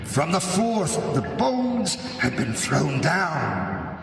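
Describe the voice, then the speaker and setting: An actor playing a scheming, vengeful nobleman who narrates a gothic radio drama with false courtesy, dramatic and deliberate. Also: a little high, wildly swooping pitch, vocal fry, fairly bright tone, faint music behind, exaggerated voice. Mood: grave, confiding. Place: large church hall, live before an audience. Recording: echoing cavernous hall, noisy live recording.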